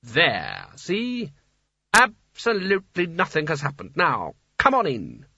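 A pompous wizard ringleader, acted out by a man narrating a storybook. He is brisk, bossy and easily exasperated, with a bright, forceful delivery that swings widely in pitch. The sound is dry and slightly dull. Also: wildly swooping pitch, gravelly, slightly rough, dry recording, full character voice. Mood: bossy, satisfied.

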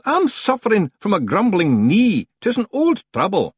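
A man's voice: sits high, wildly swooping pitch, husky, no room sound, studio quality, pitched above the performer's own voice, full character voice. A man voicing a tall, mysterious Scottish stranger, shifty and blustering, in a storybook reading. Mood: blustering, evasive. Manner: hurried dialect speech, blustering excuses.

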